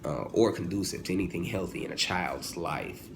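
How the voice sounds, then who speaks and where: A man in his twenties giving a casual, humorous opinion monologue as a vlogger, animated and informal, often digressing and correcting himself. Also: sits low, normal speed. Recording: clearly noisy, ambient background sound.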